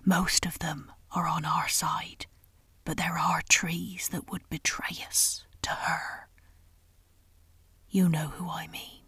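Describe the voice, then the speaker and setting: Storybook beaver, hushed and throaty, nervous and secretive, voiced by a woman reader. Slow, dry close sound. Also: sits low, little pitch movement, dry recording, exaggerated voice. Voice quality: hoarse throaty whisper. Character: wary whispering male beaver, secretive and nervous.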